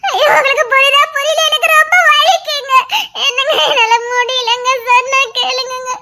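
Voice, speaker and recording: An actress playing a pregnant wife in pain in an emotional melodrama, crying and pleading plaintively for help. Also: female voice, extremely high pitch, normal speed, heavy background noise. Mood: tearful pleading.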